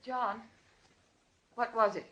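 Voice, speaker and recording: A woman's voice: on the high side, steady tone, slow, fairly bright tone, muffled recording. An actress playing an anguished, stubborn mother, insistent and melodramatic, in 1940s radio drama style. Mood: dread, pleading. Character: anguished, stubborn mother desperate for her son.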